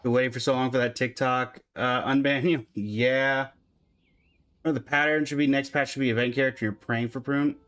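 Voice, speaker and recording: A man's voice: mid-range voice, steady tone, moderate pace, fairly bright tone. A man giving casual, chatty commentary on a game livestream, talking to his chat and humming little filler syllables.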